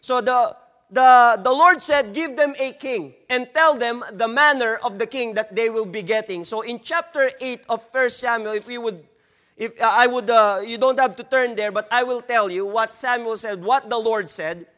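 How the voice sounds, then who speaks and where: A man from the Philippines with a strong Filipino accent, preaching a slow, emphatic sermon as a guest preacher and reading scripture. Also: extremely high pitch, pushed and bright, big-room sound. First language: not English.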